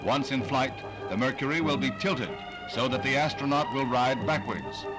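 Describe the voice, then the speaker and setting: A man's voice: mid-range voice, moderate pace, low-quality recording. An American man narrating a 1960s newsreel in a dramatic, grandiose announcer's delivery, on an old film soundtrack. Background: loud music.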